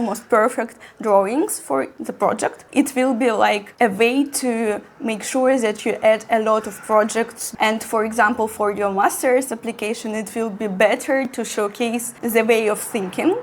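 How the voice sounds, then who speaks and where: Young woman, bright voice. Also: on the high side. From Russian-speaking. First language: Russian. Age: 20s. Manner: friendly, with nervous little laughs and non-native phrasing. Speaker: architecture student and vlogger. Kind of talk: casual educational talk to camera.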